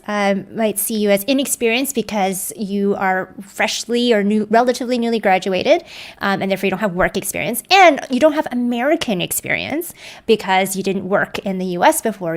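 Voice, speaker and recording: A woman hosting a live panel discussion, conversational and affirming, with frequent "um" and "mm-hmm". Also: mid-range voice. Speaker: host.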